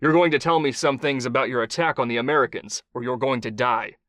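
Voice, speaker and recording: A man's voice: medium pitch, fast, a bit bright, no echo, clean studio sound, lightly acted. A man voicing a hard, ruthless action hero who interrogates with grim, barked demands in an audiobook. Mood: grim menace. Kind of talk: audiobook character voice.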